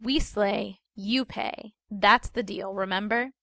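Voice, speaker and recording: A woman's voice: mid-range voice, takes it slowly, pushed and bright, no room sound. A cocky young woman who fights monsters, acted by a story reader talks in a breezy, teasing way. Her delivery is casual, in a close, dry sound. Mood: amused.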